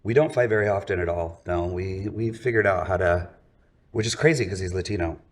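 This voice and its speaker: A man in his forties, a DJ guest, chatting casually in an easygoing, relaxed way. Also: low voice, a little fast, crowd noise. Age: 40s. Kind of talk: casual chat.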